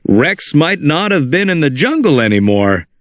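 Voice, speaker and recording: A man reading a children's storybook aloud in an animated, expressive way. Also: fairly high pitch, moderate pace, no echo, studio quality. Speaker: storybook narrator. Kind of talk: read-along storybook narration.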